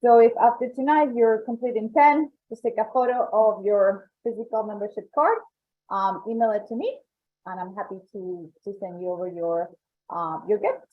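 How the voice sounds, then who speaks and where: A woman's voice: mid-range voice, fairly even intonation, normal speed. A woman with a Colombian accent presenting member benefits on a webinar, upbeat and salesy, repeating points for emphasis. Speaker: membership coordinator. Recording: tinny phone-like call audio.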